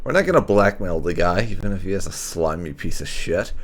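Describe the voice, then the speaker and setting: A man's voice: sits low, big pitch swings, a little fast. A man giving casual let's play commentary with some role-play, in a dry, blunt manner. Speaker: game streamer, player.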